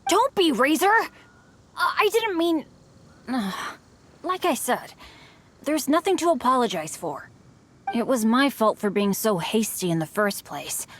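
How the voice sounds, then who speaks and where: A woman voicing an earnest, excitable, boyish teenage adventurer in a video game. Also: a little high, a little slow, pauses often, slight background noise. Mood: reassuring. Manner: boyish, excitable, dramatic storytelling. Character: earnest, eager, unlucky teenage boy adventurer.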